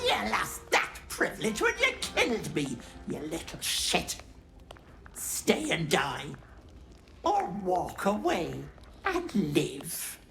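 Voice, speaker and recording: A woman's voice: lowish voice, huge pitch swings, slow pace, long pauses, a bit bright, faint music behind, exaggerated voice. A woman playing a gleefully cruel, pregnant old hag in a video game, theatrical, taunting and menacing. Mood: angry, taunting. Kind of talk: video game villain acting.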